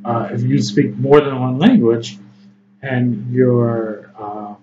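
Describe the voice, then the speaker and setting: A man's voice: mid-range voice, fairly even intonation, slow pace. Chatty Chicago man explaining to camera. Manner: conversational, frequent "right?" and "okay?" check-ins, many ums. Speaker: English tutor. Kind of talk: informal lecture to camera. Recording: slightly dull lo-fi audio with faint noise.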